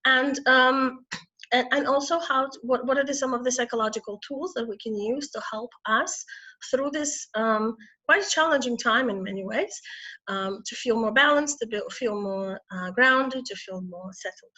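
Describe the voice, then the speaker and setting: Middle-aged woman from Ukraine, hoarse from a cold, hesitant webinar speaker, bright tone, muffled call audio. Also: a little high, normal speed. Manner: hesitant, frequent throat clearing and restarts. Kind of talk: online talk. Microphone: video call.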